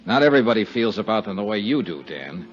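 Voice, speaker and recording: An American actor playing a tough, self-assured insurance investigator in a 1950s radio drama, with a clipped, matter-of-fact delivery. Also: male voice, fairly low pitch, big pitch swings, fast talker. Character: tough, sure-minded male insurance investigator hero. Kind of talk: 1950s radio detective drama acting with narration. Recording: muffled 1950s radio recording, music.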